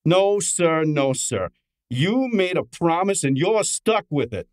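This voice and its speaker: A man's voice: on the high side, big pitch swings, slow pace, no echo. A man playing a brash, cheeky detective's assistant who also narrates, in an informal, wisecracking old time radio drama style. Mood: cheeky, needling.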